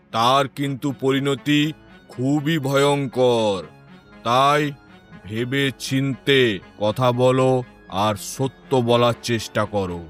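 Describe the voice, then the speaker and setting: Man as cartoon folk-tale king, stern, shrewd, slow, commanding, deliberate, music behind. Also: mid-range voice, a bit bright. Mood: stern, warning. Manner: commanding, deliberate, theatrical pronouncements. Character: stern, shrewd king judging a land dispute.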